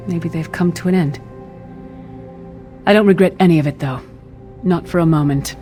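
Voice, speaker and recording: A woman's voice: on the low side, mellow, natural voice. An actress playing a weary, brave female warrior in a fantasy game, earnest and plain-spoken.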